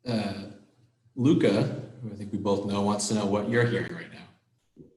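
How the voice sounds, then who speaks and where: A man's voice: lowish voice, steady tone, normal speed, darkish tone. A man moderating a casual online event, relaying audience comments in an echoey room over a video call.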